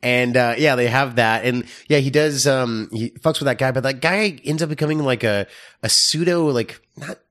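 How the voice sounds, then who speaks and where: A man's voice: medium pitch, moderate pace, a bit bright. A man co-hosting a film podcast with casual comedic banter, incredulous, joking and swearing.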